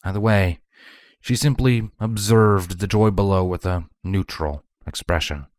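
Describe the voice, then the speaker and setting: A man's voice: low-pitched, unhurried, a little mellow, no echo, studio quality. A man reading scripted fantasy fiction aloud as a storybook narrator. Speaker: narrator, fan fiction reader.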